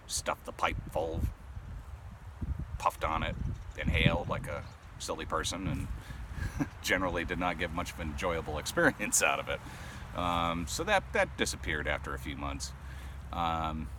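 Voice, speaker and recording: A man's voice: deep voice, lively intonation, moderate pace, long pauses. A man in his thirties from Rhode Island with a neutral voice, chatting casually to camera and rambling with lots of ums and uhs. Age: 30s. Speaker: pipe-smoking vlogger. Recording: clear traffic noise outdoors.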